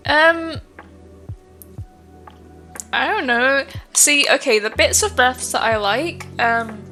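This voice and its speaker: A woman's voice: on the high side, gravelly, sharp tone. A woman streaming, chatting casually with viewers, rambling with lots of fillers and a stuffy nose from hay fever.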